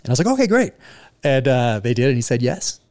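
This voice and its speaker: A man who is an author, chatting casually in an interview and telling relaxed stories with plenty of fillers. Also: medium pitch, fast talker, no room sound, studio quality. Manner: relaxed storytelling with frequent fillers.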